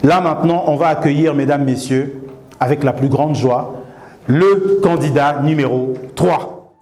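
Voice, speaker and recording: A man's voice: on the high side, measured pace, darkish tone, reverberant. A man hosting a contest, making a ceremonious stage introduction. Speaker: contest host.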